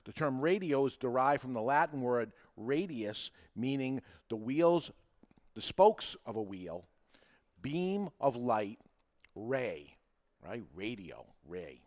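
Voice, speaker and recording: Guy hosting a how-to podcast, mid pitched voice, punches his points. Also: sing-song, takes it slowly, darkish tone. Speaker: podcast host and marketing coach. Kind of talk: animated instructional monologue to listeners.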